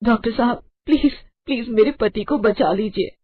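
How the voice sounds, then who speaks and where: A woman's voice: on the high side, moderate pace, background noise, voice pushed up, lightly acted. A woman voicing a tearful young wife who begs a doctor to save her husband. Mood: pleading. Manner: desperate, sobbing pleas. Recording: tinny phone-like sound.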